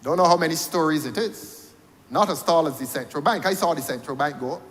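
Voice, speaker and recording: A Barbadian man with a high voice delivers a parliamentary speech. He is rhetorical and repeats his phrases for emphasis. His voice rings with the long reverb of a large chamber. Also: brisk, fairly bright tone. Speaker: member of parliament.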